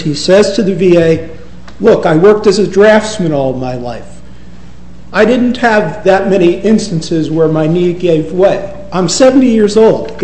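High-voiced man in his fifties arguing. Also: mellow. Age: 50s. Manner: halting, many pauses and fillers. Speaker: attorney arguing rebuttal. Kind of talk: courtroom oral argument. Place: courtroom. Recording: echoey courtroom with faint hiss.

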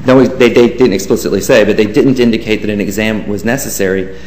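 A man's voice: fairly low pitch, steady tone, fast, a little mellow. A man giving a formal, deferential courtroom argument as an attorney. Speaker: government attorney. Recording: echoey courtroom with faint hiss.

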